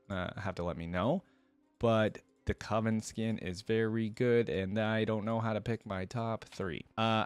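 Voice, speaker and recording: A man's voice: lowish voice, clear, resonant tone, dry recording. A man hosting a reaction video, talking informally and thinking aloud, sometimes reading aloud to his viewers.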